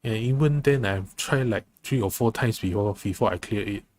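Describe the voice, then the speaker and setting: A man giving casual live game commentary as a streamer, halting and often rephrasing himself. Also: lowish voice, darkish tone. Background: game music.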